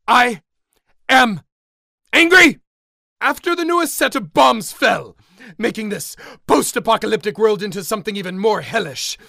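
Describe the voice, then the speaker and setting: A man's voice: sits high, vocal fry, sharp tone, no room sound, studio quality, heavily acted. A man playing an angry, pompous mad scientist in a campy, theatrical radio drama.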